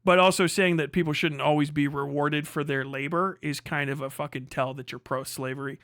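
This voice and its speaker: Male streamer, high-voiced, dry close sound. Profane, argumentative reaction commentary, emphatic and repetitive. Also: fairly even intonation, brisk, fairly bright tone, no echo. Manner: profane, combative, ranting. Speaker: livestreamer reacting to a video. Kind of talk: heated off-the-cuff commentary.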